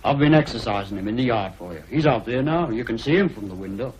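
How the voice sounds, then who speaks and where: An actor playing a blunt, stubborn young farmhand in a radio drama. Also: male voice, medium pitch, expressive, quick pace, darkish tone, close to an everyday voice, rough recording. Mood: gruff. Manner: blunt, gruff dialect speech.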